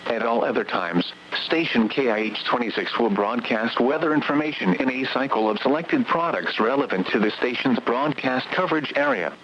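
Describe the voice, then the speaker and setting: Robotic guy reading weather radio bulletins, measured and even, muffled lo-fi radio with some hiss. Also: mid-range voice. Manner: measured synthetic delivery, evenly read. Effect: radio broadcast. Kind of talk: automated scripted weather broadcast. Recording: dull, noisy weather radio broadcast.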